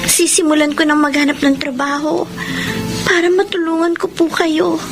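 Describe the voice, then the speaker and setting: A woman with a Filipino accent playing a gentle, dutiful young eldest daughter in a radio drama. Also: high-pitched, level tone, moderate pace, over music. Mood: tender, earnest.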